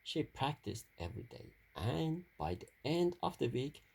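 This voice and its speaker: A man reading a children's story aloud in a halting delivery, stumbling and repeating phrases now and then. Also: fairly high pitch, sing-song, vocal fry, darkish tone, breathy edge.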